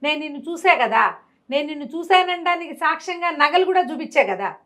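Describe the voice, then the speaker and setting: A woman's voice: high voice, animated, brisk, a bit bright, pitched above the performer's own voice. A woman voicing a simple, awestruck young male thief who talks in eager, wondering bursts in a told story. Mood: earnest.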